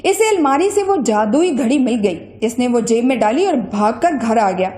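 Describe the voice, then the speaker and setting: A woman tells a children's moral story from a script. Her voice is notably high. The recording carries a clear room echo. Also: lively intonation, moderate pace, mellow. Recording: roomy echo.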